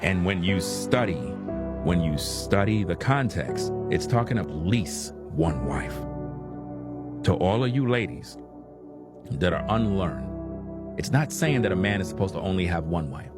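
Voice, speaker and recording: Male preacher type, combative lecturing, low voice, heavy music bed. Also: lively intonation, a little slow. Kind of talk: preaching commentary.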